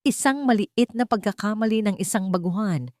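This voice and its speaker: A woman's voice: medium pitch, moderate pace, a little mellow, no echo, voice pushed up, close to an everyday voice. Woman as male company heir, Filipino accent, scheming, falsely solicitous, audio drama villain, dry sound. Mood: feigned concern.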